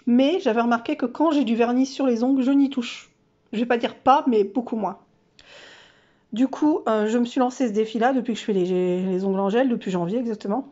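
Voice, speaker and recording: A woman's voice: on the high side, mellow, highs cut off. A woman vlogger with a stuffy, nasal voice from a cold, chatting casually and self-mockingly through her monthly challenges with lots of fillers.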